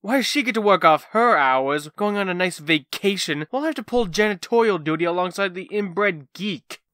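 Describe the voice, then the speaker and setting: A man's voice: sits high, expressive, moderate pace, a bit bright, no room sound, studio quality. A man playing a cocky, resentful, whining young slacker in a comic dub. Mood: indignant.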